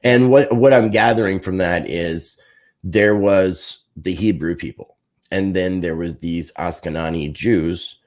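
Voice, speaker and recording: A man's voice: sits low, a little slow, darkish tone. A man talking casually on a live talk show, rambling in a tentative, hedging way. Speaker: podcast host.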